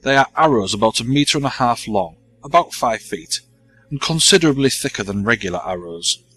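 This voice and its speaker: An actor playing an earnest, indignant male bishop with a husky voice. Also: mid-range voice, expressive, brisk, fairly bright tone, rough recording. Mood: explaining. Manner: earnest, accusing, explanatory.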